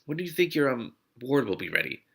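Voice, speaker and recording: A man's voice: medium pitch, big pitch swings, quick pace, gravelly, fairly bright tone, slightly rough, full character voice. A man voicing a sardonic, resigned young woman heroine in a storybook fantasy reading. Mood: weary. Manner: resigned, sarcastic.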